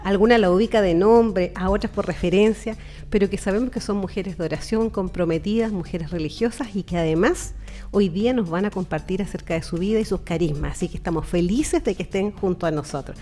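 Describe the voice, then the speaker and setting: A woman's voice: medium pitch, a little fast, dark tone. A Chilean woman hosting a radio program in an informal, chatty, friendly interviewing style. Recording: music bed under the voice. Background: background music.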